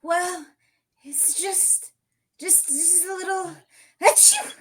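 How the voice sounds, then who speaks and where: A woman's voice: very high voice, steady tone, slow. A woman voicing a polite, helpful young girl in a cartoon script reading, speaking earnestly. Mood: shivery. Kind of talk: cartoon table read.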